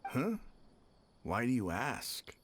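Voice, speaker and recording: A man's voice: mid-range voice, very animated, takes it slowly. A man playing a casual, slightly wary townsperson in a video game, chatty in manner. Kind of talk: video game character acting.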